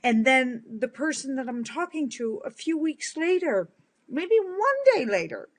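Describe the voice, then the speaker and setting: A woman in her fifties who grew up in the Netherlands, with a big booming voice that sits a little high for a woman. She talks straight to her followers in a casual live stream, earnest and sincere. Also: big pitch swings, unhurried. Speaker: kindness movement speaker.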